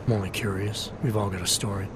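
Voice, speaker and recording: An actor playing a hardened, guarded male cyborg agent in a video game cutscene, speaking in low, clipped lines. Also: steady tone, quick pace, background noise, lightly acted. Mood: probing.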